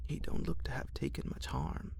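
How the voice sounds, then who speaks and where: A man voicing a gentle elderly mother who speaks soothingly and politely in a storybook reading. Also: moderate pace, in a whisper, lightly acted.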